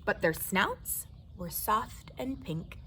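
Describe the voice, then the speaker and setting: A woman's voice: medium pitch, very animated, slow, pushed and bright, slight background noise. An American woman telling a children's story aloud, expressively and addressing her young listeners directly. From southwestern United States desert.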